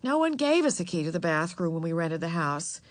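A woman's voice: on the low side, big pitch swings, a little fast, dry recording. A woman voicing a stern, exasperated mother in a storybook, firm with her children. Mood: explaining. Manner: scolding, firm.

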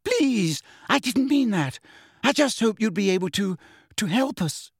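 A man's voice: high voice, expressive, measured pace, slightly rough, no echo, clean studio sound, voice pushed up, exaggerated voice. A man voicing an earnest, frightened teenage girl who pleads breathlessly in an audiobook. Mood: horror-struck.

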